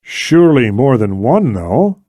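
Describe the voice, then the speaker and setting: A man's voice: medium pitch, sing-song, unhurried, warm tone, no echo, clean studio sound. A man playing a skeptical, bitter, protective male operative in an audiobook, with an urgent, pleading manner. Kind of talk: audiobook character dialogue.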